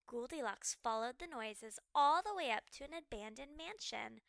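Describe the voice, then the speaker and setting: A woman storyteller reading a children's book aloud with expressive, dramatic and deliberately phrased narration. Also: on the high side, gravelly, no echo.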